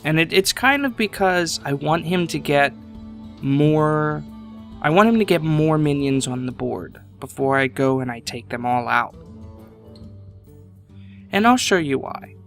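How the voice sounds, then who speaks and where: A man commentating his card game match casually, thinking aloud at an unhurried pace, his voice a little congested from a sinus infection. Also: on the high side, lively intonation, vocal fry, a bit bright, soft background music.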